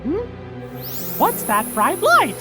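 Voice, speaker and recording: A man voicing a small, plucky, earnest male cartoon mouse hero in a video game, squeaky and exclaiming. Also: wildly swooping pitch, takes it slowly, over loud music, heavily acted. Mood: puzzled. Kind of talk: video game cutscene voice acting.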